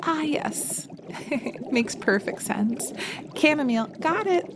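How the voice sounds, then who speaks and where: A woman voicing a sweet, dreamy romantic witch in a roleplay audio drama, cooing gently and dotingly. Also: high voice, lively intonation, slow pace, background noise. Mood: playful.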